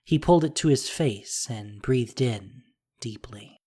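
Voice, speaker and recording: A narrator reading a scripted fantasy audio drama in a measured, dramatic, descriptive way. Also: mid-range voice, dark tone, slightly rough.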